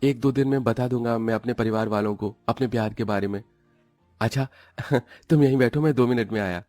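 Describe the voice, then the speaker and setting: A polite, lovestruck younger brother from a cartoon done by a male narrator. The voice is lifted lighter and higher than a plain reading, and recorded dry. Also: brisk, a little mellow, no room sound, soft background music, natural voice. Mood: reassuring. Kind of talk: cartoon story character dialogue.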